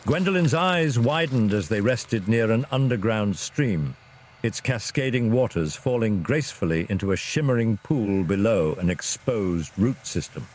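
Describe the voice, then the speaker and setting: A man's voice: fairly low pitch, lively intonation, normal speed, darkish tone, a little hiss or hum. A man narrating a scripted fantasy story in a dramatic storytelling style.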